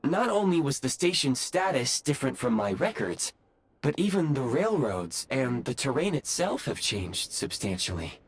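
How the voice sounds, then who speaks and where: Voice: medium pitch, very animated, moderate pace, husky. An actor voicing a polite, dutiful robot guide in a video game, speaking in a formal, precise and courteous way. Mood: puzzled, apologetic. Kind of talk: scripted video game voice acting. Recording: music behind the voice.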